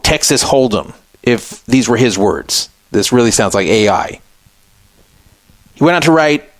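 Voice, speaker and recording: A man's voice: a little high, no room sound. A man hosting a talk radio show, giving opinionated commentary and scoffing sarcastically at a statement he reads aloud.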